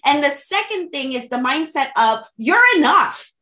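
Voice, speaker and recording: A woman's voice: high-pitched, lively intonation, moderate pace, bright, forceful tone. A woman from Haiti with a Haitian accent, a native French and Creole speaker, giving frank, motivational coaching advice in conversation. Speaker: social media coach, interview guest. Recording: roomy, echoing sound.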